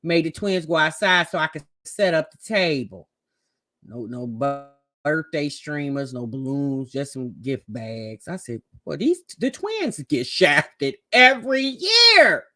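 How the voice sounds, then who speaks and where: A woman's voice: deep voice, very animated, a little slow, sharp tone. An American woman giving casual, gossipy reaction commentary in a sassy, conversational way. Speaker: host reacting to a family vlog.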